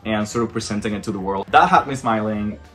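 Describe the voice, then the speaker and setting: A man's voice: lowish voice, quick pace, fairly bright tone, soft background music. A man from the Dominican Republic, a native Spanish speaker, giving a casual, opinionated film review in an emphatic, conversational way.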